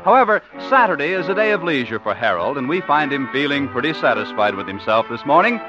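A man delivers scripted 1950s radio narration in a fairly high, very animated announcer's voice. Music plays loudly behind him on the old broadcast. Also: quick pace. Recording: muffled vintage radio recording over music.

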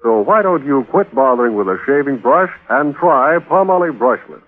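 A man's voice: mid-range voice, expressive, normal speed. A man announcing a commercial in an emphatic, polished 1940s radio sales style. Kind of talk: 1940s radio advertising pitch. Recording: tinny old radio recording.

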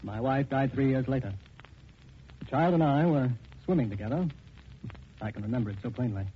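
A man acting as a distraught middle-aged widower in an old radio play. He speaks haltingly and earnestly at a medium pitch, on a dull recording with light hiss. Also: animated, lightly acted. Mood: grieving. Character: anxious, grieving wealthy middle-aged widower.

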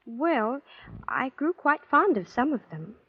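High-voiced, girlish, airy young heiress. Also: very animated, a little slow, husky, no room sound, exaggerated voice. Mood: blithely matter-of-fact. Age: young adult. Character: naive, breezily callous, romantic young rich heiress. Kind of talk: 1940s-50s radio drama acting. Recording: dull, dry vintage radio recording.

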